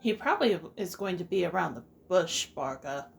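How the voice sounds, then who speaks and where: A woman's voice: lowish voice, animated, normal speed, vocal fry, slight background noise. A woman streamer giving casual let's play reaction commentary, informal, exclamatory and wry.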